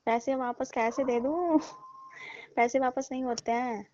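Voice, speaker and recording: Muffled, mellow fruit-seller auntie played by a woman. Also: high-pitched, fairly even intonation, slow pace, no room sound. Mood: defensive. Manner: colloquial, protesting, repeats herself. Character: chatty, defensive middle-aged auntie who sells fruit. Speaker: fruit seller in a comic phone call sketch. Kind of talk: staged comic phone conversation, casual chat. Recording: muffled lo-fi call recording with hiss.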